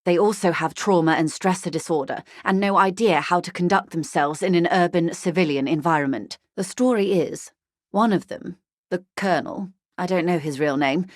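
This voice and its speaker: A woman acting as a shrewd corporate negotiator in a video game, with a lowish female voice recorded close and dry. She is composed and articulate, choosing formal words with grave, frank urgency. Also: no room sound, lightly acted. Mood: grave, matter-of-fact. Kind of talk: scripted video game character dialogue.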